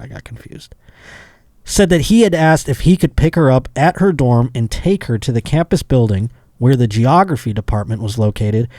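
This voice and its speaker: A man's voice: medium pitch, dark tone, no echo, clean studio sound. A man hosting a casual comedy podcast, reading news stories aloud and riffing on them with sardonic, profane asides. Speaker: podcast host.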